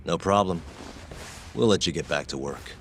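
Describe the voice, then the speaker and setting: A man's voice: low voice, normal speed, fairly bright tone, slight background noise. A man acting a wry, laid-back private detective and former lawyer in an English video game dub. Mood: calm, focused. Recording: music underneath.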